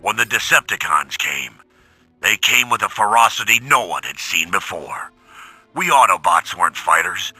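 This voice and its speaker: A man's voice: low voice, animated, moderate pace, bright, forceful tone, husky, no echo, soft background music, exaggerated voice. A man voicing a weary, earnest robot veteran, narrating war history in a solemn, gritty game audio log. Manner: solemn, reflective.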